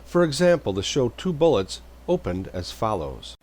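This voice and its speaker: A man's voice: medium pitch, very animated, normal speed, a little mellow, slight background noise. A man reading a scripted, informational narration.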